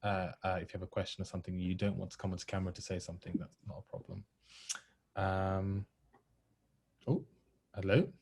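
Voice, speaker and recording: A man's voice: low-pitched, level tone, brisk, gravelly, long pauses, a little mellow, slightly rough. A man hosting an online event informally, speaking politely and reassuringly, with frequent hesitant ums and uhs. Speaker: host of an online play table read. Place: online video call.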